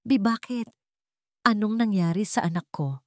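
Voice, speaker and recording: A woman's voice: mid-range voice, moderate pace, darkish tone, no echo, studio quality, voice pushed up, close to an everyday voice. A woman voicing a proud, hurt young mother, fiercely protective and emotional, in a story drama. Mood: anxious. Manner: defiant, emotional.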